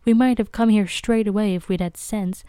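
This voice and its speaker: A woman voicing a hysterical young games mistress who shrieks in a high, shrill voice. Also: fairly even intonation, moderate pace, mellow, clean tone, no echo, studio quality, lightly acted. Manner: high, shrill, laughing wildly.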